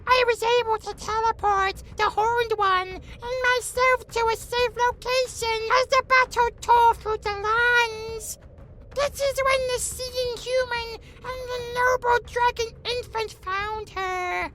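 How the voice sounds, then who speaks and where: A performer voicing a small, childlike sprite in a fantasy audio drama, telling a tale earnestly and haltingly. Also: extremely high pitch, fairly even intonation, sharp tone, slight background noise, heavily acted. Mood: earnest, solemn. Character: small, childlike sprite lord giving solemn testimony. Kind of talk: fantasy audio roleplay acting.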